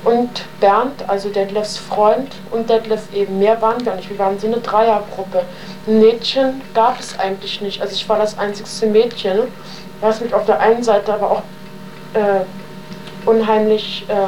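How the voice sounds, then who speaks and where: A young woman from an old, slightly noisy interview tape speaks at a medium pitch. She tells her own story loosely, in slangy everyday words, wandering from clause to clause. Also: steady tone, moderate pace, darkish tone. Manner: rambling, with slangy wording. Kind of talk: spontaneous, colloquial oral testimony.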